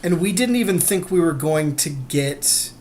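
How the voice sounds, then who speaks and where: Higher-voiced man, casual podcast host. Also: lively intonation, unhurried, a little mellow, slight background noise. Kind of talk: casual, unscripted chat with a co-host.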